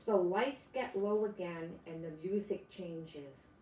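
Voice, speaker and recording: A woman's voice: fairly low pitch, slow pace, a little mellow, roomy sound, slight background noise, rough recording. An older woman, a grandmother, reading a children's storybook aloud expressively and adding chatty exclamations for young listeners. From United States.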